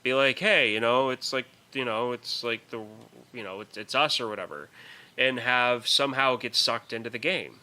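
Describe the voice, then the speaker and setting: A man's voice: mid-range voice, moderate pace, sharp tone. A man co-hosting a podcast, discussing films casually in an emphatic, argumentative way. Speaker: podcast co-host.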